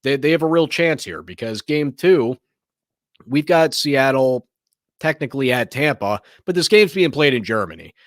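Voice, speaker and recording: A man hosting a sports show, giving casual, opinionated commentary in a slightly hoarse, raspy voice, rambling and often restarting his sentences. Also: mid-range voice, expressive, moderate pace, no echo, clean studio sound.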